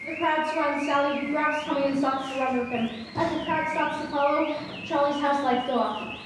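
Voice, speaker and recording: A woman's voice: sits high, steady tone, moderate pace, lightly acted. A woman reading scripted narration for a radio play performed on stage. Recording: very echoey hall, distant mic, noisy.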